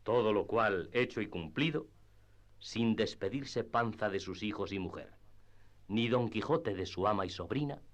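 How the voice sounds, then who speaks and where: A man narrating a literary radio drama in a measured storytelling manner. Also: fairly low pitch. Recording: slightly dull radio drama with music.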